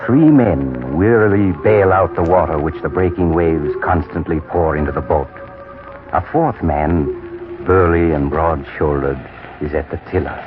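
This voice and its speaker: Super low creepy polite horror host, old radio show, muffled, organ-style music loud behind. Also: male voice, a little slow. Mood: grave. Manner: theatrical, ominous, mock-polite. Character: sinister, courteous male storyteller host of eerie tales. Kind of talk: 1940s radio horror narration.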